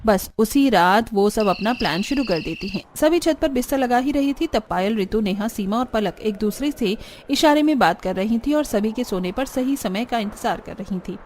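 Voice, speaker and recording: A woman's voice: on the high side, animated, normal speed, few pauses, a little mellow, a little hiss or hum. A woman reading scripted storybook narration for a cartoon. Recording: music under the voice.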